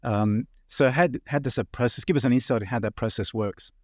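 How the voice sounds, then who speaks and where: A man's voice: mid-range voice, a little fast, no room sound, clean studio sound. A man interviewing someone in a conversational style, piecing his questions together hesitantly. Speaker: interviewer, audio magazine editor.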